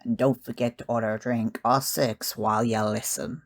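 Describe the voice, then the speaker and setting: An actor playing a hospitable barkeep with a creaky voice in an audio play. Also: male voice, medium pitch, fairly even intonation, normal speed, very noisy, lightly acted. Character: hospitable male pirate tavern barkeep. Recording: loud clinking tavern glasses and dishes.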